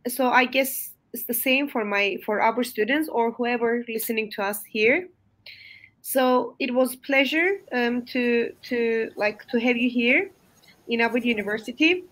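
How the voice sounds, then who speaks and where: High-voiced woman with a Turkish accent. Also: measured pace, fairly bright tone. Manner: hesitant, many fillers and restarts. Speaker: university lecturer hosting a webinar. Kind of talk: webinar closing remarks.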